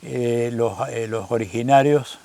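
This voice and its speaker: A man's voice: mid-range voice, steady tone, measured pace, gravelly, dark tone. A man in his seventies from Buenos Aires with an Argentine accent, a pastor talking reflectively in an interview, with many fillers and restarts. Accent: Argentine Rioplatense Spanish. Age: 70s. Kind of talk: relaxed conversational storytelling. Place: outdoors on a roadside stop.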